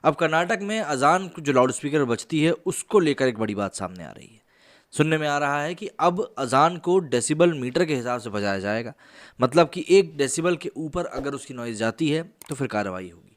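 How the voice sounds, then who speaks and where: A man's voice: fairly high pitch, animated, normal speed. A young man reading news reports for his online channel, mostly in formal phrasing with casual asides to the viewers. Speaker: news commentator.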